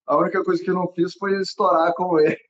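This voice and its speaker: A man's voice: high voice, flat delivery, very fast. A young man from Brasília hosting a casual interview, rambling with many fillers and restarts and laughing often. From Brazil, Brasília. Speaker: podcast host. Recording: dull lo-fi audio.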